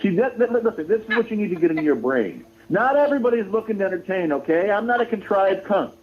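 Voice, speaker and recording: A man's voice: high-pitched, lively intonation, quick pace. A man arguing on a live phone-in, combative and insulting, insistently repeating himself. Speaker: caller to a livestream show. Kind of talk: argumentative live phone-in banter. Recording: tinny phone-like livestream call.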